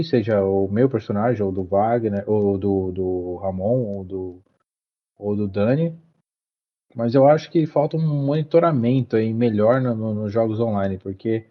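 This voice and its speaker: A man co-hosting a casual podcast chat, talking hesitantly with many restarts. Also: lowish voice. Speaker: podcast co-host. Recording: dull lo-fi recording.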